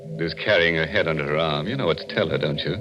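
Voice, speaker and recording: An actor playing a ghoulish, mock-genial American horror host on a 1940s radio show, teasing and macabre. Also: male voice, very deep voice, fast talker. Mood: ghoulish glee. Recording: muffled old radio recording with music.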